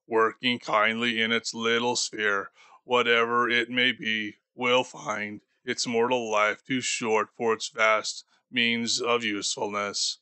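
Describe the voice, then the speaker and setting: A man voicing a doomed, grieving male ghost bound in chains, speaking slowly and gravely for an audiobook. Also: medium pitch, pushed and bright, no echo. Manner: slow, solemn and grave. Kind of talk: audiobook character voice.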